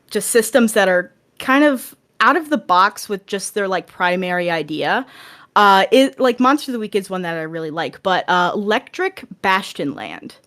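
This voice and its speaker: A woman's voice: medium pitch, fairly bright tone. A woman talking casually and enthusiastically as a guest on a podcast. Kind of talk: casual chat.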